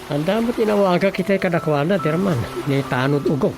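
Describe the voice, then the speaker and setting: Man acting a fairly high-pitched Ilocano village councilman. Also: animated, a little fast, vocal fry. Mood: reporting, prodding. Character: male village councilman, the chairman's prodding sidekick. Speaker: voice actor in a radio drama. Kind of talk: Ilocano radio drama comedy acting. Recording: music under the voice.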